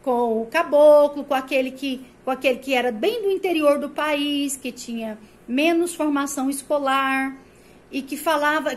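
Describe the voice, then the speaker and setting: High-voiced Brazilian woman teaching. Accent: Brazilian Portuguese, rolled R of the interior. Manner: explanatory, asks rhetorical questions, frequent "né?" tags. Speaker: Portuguese teacher. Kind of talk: recorded prep-course lecture.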